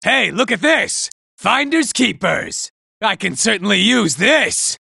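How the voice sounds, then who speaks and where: A man's voice: high voice, big pitch swings, slow pace, creaky, bright, forceful tone, breathy edge, no echo, full character voice. An actor playing a vain, boastful male robot soldier who taunts enemies and admires his own looks, in a video game. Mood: pleased, smug. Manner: smug, self-admiring, taunting. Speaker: game character. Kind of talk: video game combat barks. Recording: dry studio recording.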